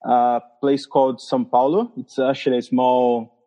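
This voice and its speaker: Brazilian guy chatting on a podcast, mellow tone, kinda lo-fi, stops and restarts a lot. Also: medium pitch, flat delivery. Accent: Brazilian Portuguese. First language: Portuguese. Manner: halting, laughs often, restarts phrases. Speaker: missionary guest. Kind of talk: casual podcast interview.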